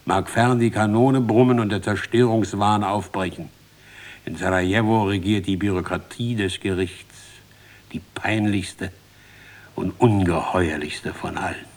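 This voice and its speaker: Man, literary narrator, slightly low voice, ironic rhetorical scripted delivery. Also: fairly even intonation, unhurried.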